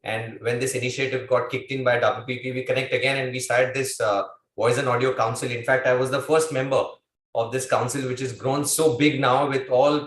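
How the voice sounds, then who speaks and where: A man moderating a webinar panel, introducing a guest in a meandering, reminiscing way. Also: mid-range voice. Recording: echoey room with faint noise.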